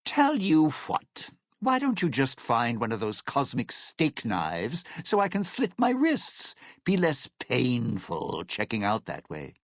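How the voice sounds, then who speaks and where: Cynical lounge entertainer, video game survivor, smug menace, showbiz sarcasm, hugely varied intonation, close dry recording. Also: male voice, mid-range voice, huge pitch swings, slow pace, few pauses, dry recording, exaggerated voice. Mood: sarcastic, stubborn. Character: slick, cynical male lounge singer turned scheming survivor. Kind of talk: theatrical video game character acting.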